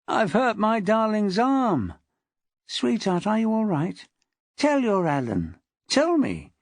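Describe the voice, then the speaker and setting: A woman's voice: on the low side, very animated, husky, dry recording, full character voice. A woman as a menacing, crooning jealous husband. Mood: whining. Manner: crooning, hissing, drawn-out words.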